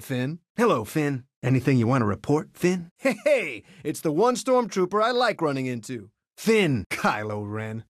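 Young space pilot, breezy, confident, lively up and down delivery, video game, dry recording. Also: male voice, on the high side, dry recording. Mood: cold, defiant. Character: cocky, friendly young male resistance pilot. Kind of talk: video game character voice clips.

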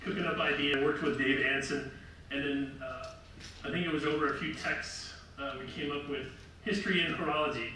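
A middle-aged man with a loud, bright voice presents to a roomful of people, rambling and restarting his sentences. He is heard from far off in a very echoey hall, with faint noise behind him. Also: fairly high pitch, fairly even intonation, a little fast. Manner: rambling, self-correcting, light laughs. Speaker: lecture presenter. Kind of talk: casual public talk with slides. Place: large room with seated audience. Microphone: far camera.

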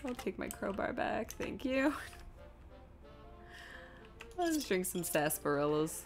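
A woman's voice: mid-range voice, sing-song, measured pace, vocal fry, soft background music. A woman giving casual live commentary as she streams a video game, muttering to herself in an exasperated, self-deprecating way.

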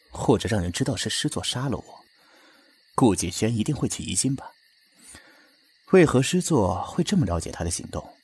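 A man's voice: mid-range voice, darkish tone, breathy edge, heavily acted. A man playing a defiant, self-sacrificing captive devoted to his friend, in a Republican-era Chinese military radio drama. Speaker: amateur audio drama voice actor.

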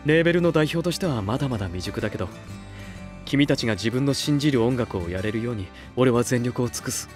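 Guy acting as a gentle sincere label boss in an anime audio drama, measured and supportive, medium pitch, soft music behind. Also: expressive, close to an everyday voice. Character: earnest adult man, gentle supportive new music label head.

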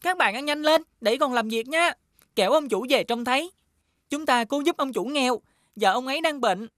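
A woman voicing a tiny, kind-hearted shoemaker who leads others in a friendly, earnest way in a children's animated fairy tale. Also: high-pitched, moderate pace, no echo, clean studio sound. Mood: urging.

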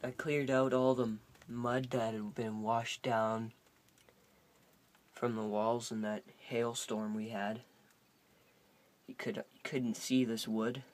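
A man's voice: mid-range voice, little pitch movement, slow pace, long pauses. A young man from Canada giving a casual, folksy, rambling handheld video tour outdoors on a farm. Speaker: vlogger and hobby farmer. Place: outdoors on rural farmland in rain. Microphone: handheld phone or camera mic. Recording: loud outdoor noise, handheld camera.

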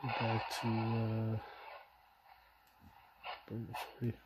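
A man giving a casual, halting hands-on walkthrough as a radio hobbyist, in loose unscripted phrasing. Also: fairly low pitch, monotone. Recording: loud radio static and hiss. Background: shortwave receiver noise.

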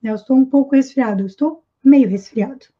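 A woman with a high, light voice teaches an English lesson from a small room at home. She says each example phrase slowly, more than once, and then explains it. The audio is dull and lo-fi, like a basic webcam mic. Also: slightly rough. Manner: slow, careful repetition of example phrases. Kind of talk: online language lesson.

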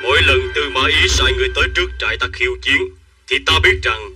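An actor playing a calculating, commanding middle-aged strategist of ancient China, speaking with measured authority in an animated dub. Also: male voice, mid-range voice, recorded from a distance, faint music behind. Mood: calm, calculating. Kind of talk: Vietnamese-dubbed historical animation acting.

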